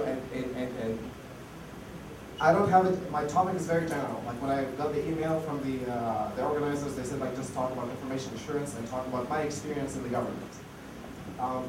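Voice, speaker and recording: A man giving a casual conference talk full of fillers and restarts, while losing his voice. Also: a little high. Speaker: conference speaker. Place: conference hall. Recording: echoey hall, far mic, noisy.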